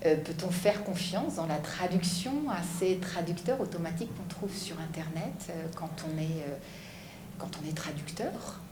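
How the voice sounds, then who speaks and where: A woman's voice: on the low side, expressive, moderate pace. Woman interviewer, friendly, hesitant fillers, roomy echo, faint noise. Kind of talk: interview questions.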